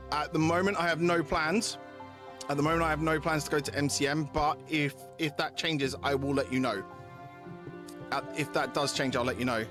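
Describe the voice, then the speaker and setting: A man chatting casually to viewers as a game streamer, informal and sweary, often repeating himself. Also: a little high. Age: adult, parent of young kids. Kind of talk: casual livestream chat with viewers. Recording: music playing behind the voice. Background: clear background music.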